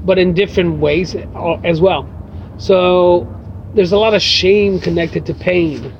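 A man with a high-set voice and a gravelly edge, answering questions in a thoughtful, meandering way. The sound is slightly muffled, with a faint hum of noise behind. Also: steady tone, measured pace. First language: English. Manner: reflective, many fillers like "you know" and "uh". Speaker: guest writer. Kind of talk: casual interview answers.

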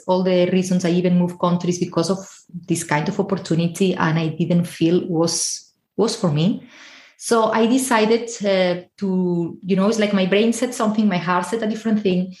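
A woman's voice: fairly low pitch, a little mellow. A woman in her forties from Spain, with a Spanish accent, telling her own story conversationally as a podcast guest. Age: 40s. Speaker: podcast guest, travel and life coach. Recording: roomy, echoey sound.

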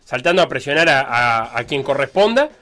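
A man's voice: medium pitch, lively intonation, fairly quick, pushed and bright. A man chatting about football on a sports show, rambling with fillers and restarts. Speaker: sports radio panelist. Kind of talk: casual football talk.

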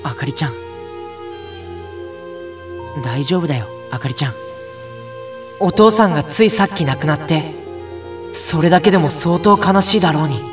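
A man's voice: a little high, recorded from a distance, close to an everyday voice. A man playing an earnest, kind young boy who narrates his own adventure in an audio drama. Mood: gentle, determined. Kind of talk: audio drama inner monologue.

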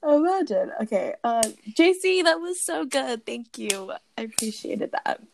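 A woman's voice: high voice, big pitch swings, a little slow, vocal fry, slight background noise. A young woman hosting a casual question-and-answer chat, talking in a bubbly, giggly way with lots of fillers. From Philippines. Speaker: host.

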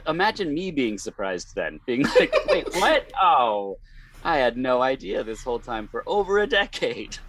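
A man with a slightly strained, hoarse voice, telling wry anecdotes as a podcast guest. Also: mid-range voice, sing-song, normal speed, a bit bright. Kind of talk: casual chat, anecdotes.

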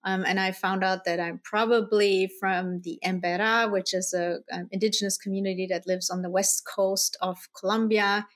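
A middle-aged woman from Germany speaks as a guest in an interview. Her voice sits at a medium pitch. She tells her own story conversationally, with animated, illustrative retelling. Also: normal speed, few pauses. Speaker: guest, spirit guide channeler.

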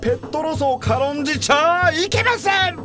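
A man's voice: very high voice, animated, normal speed, music in the background. A man playing a flamboyant, self-satisfied workplace consultant, with a showy, preachy announcer manner in a comic radio drama. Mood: scolding.

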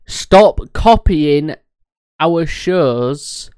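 A man's voice: fairly high pitch, animated, extremely slow, darkish tone, clear, resonant tone, dry recording, clean studio sound. A man co-hosting a casual podcast, blunt and sweary, laughing often. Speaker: podcast co-host.

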